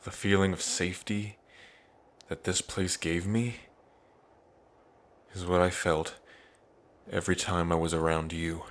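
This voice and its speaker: A man playing an earnest, devoted dragon prince in an intimate ASMR roleplay, pausing between phrases. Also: sits low, level tone. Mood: tender, lovestruck. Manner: slow, earnest, with long pauses between phrases. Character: lovestruck dragon prince, grieving, tender, devoted.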